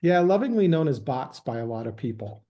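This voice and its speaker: A man speaking as an interview guest, explaining things in a measured way with frequent ums. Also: mid-range voice, very animated, highs cut off. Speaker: guest, sales executive. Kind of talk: business interview.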